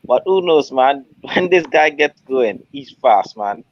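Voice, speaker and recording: A man in a casual online football chat, relaxed, ending lines with "man". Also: medium pitch, measured pace, dull audio. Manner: laid-back, tags lines with "man". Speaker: hangout guest.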